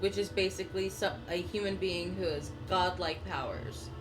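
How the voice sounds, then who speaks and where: A woman's voice: lowish voice, normal speed. A woman on a live game stream, explaining things casually and carefully with some hedging. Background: loud game music.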